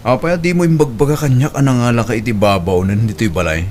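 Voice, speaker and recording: A man acts out a stern middle-aged husband in an audio drama. He argues and reproaches in a disapproving way, at a middling male pitch, with faint noise behind him. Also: quick pace, close to an everyday voice. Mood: reproachful. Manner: reproachful, argumentative.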